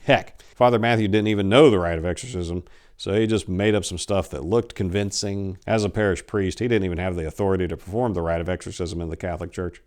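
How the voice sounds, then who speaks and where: A man in his forties giving a theatrical, expressive dramatic reading of horror fiction. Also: low voice, fast talker. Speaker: storyteller. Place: small indoor room lined with bookshelves. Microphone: lapel.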